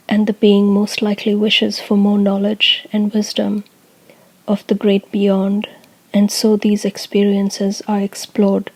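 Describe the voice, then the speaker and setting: A woman reads a scripted spiritual message in a formal, solemn way. Her pitch stays extremely flat and steady from phrase to phrase, with measured delivery. The sound is dry and close, with very little room around it. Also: no room sound, rough recording. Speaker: channeler speaking as a group of light beings. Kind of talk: scripted spiritual discourse for guided meditation.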